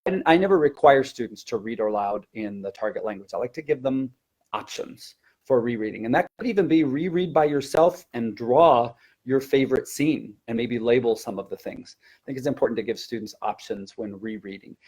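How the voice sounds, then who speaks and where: A man's voice: medium pitch, a little fast, darkish tone, breathy edge. A man who teaches languages, talking conversationally as he explains classroom technique in a webinar, repeating words for emphasis.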